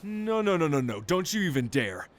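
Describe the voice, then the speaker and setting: An actor playing an anxious, caring young brother, flustered and a little cheeky, in an audio roleplay. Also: male voice, fairly high pitch, sing-song, moderate pace. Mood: alarmed. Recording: clear background noise.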